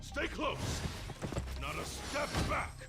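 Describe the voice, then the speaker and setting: Firm young warrior, game battle barks: bright, high, slow commands. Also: female voice, big pitch swings, creaky, faint music behind, exaggerated voice. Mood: firm, commanding.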